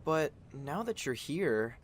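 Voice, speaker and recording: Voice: sing-song, slow pace. A performer playing a friendly, sincere young boy hero in an anime-style game fan dub. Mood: surprised, friendly. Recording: faint rumbling noise behind.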